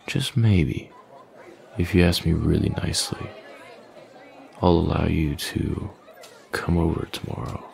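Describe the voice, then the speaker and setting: A man playing a smug, menacing young male bully in an intimate audio roleplay, taunting with slow, deliberate threats in a deep, gravelly voice. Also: a little mellow, full character voice. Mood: smug, dismissive. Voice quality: deep, gravelly, breathy at line ends.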